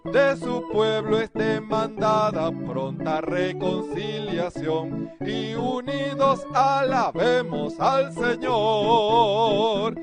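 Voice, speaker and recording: A worship leader singing a slow hymn with long drawn-out notes. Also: medium pitch. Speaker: worship singer and preacher. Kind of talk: hymn singing then quick exhortation. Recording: loud music accompaniment.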